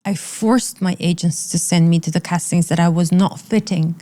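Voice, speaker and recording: A woman's voice: on the low side, steady tone, normal speed, warm tone. A woman in her thirties, originally from Iran, speaking candidly and with emphatic repetition as an interview guest. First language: Persian.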